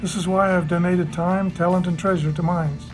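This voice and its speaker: A man's voice: high-pitched, steady tone, moderate pace, soft background music. A man delivering a prepared testimonial as an alumnus and donor.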